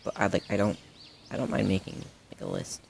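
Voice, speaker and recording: Over clear background ambience comes a low-voiced, creaky young guy a man acting it out, playing the supportive best friend in an audio roleplay. He speaks casually and earnestly, rambling and hesitating as he addresses the listener. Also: sing-song, brisk, a little hiss or hum, heavily acted. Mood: concerned, supportive. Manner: hesitant, rambling, casual with many trailing-off phrases.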